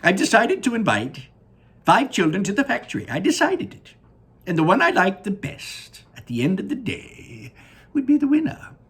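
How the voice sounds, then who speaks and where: Eccentric elderly male candy maker for a storybook, man acting: high, husky, excitable, drawn-out emphasis. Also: exaggerated voice. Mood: earnest. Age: older.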